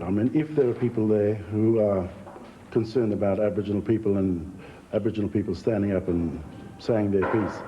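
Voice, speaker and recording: A man speaking reflectively in an interview as a theatre practitioner, measured and plain-spoken. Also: lowish voice, lo-fi sound, a little hiss or hum. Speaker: theatre group member being interviewed.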